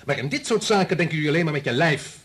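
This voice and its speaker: An actor in a Dutch radio play playing a domineering scientist, insistent, coolly persuasive and condescending. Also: male voice, on the high side, lively intonation, rapid-fire, fairly bright tone, breathy edge. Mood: scornful.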